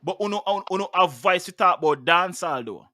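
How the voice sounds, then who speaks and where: A man's voice: sits high, animated, fast talker, sharp tone, no room sound. A man in his thirties with a Jamaican accent, hosting a heated talk show discussion, passionate and often repeating words as he speaks into a studio mic. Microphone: studio mic with headphones.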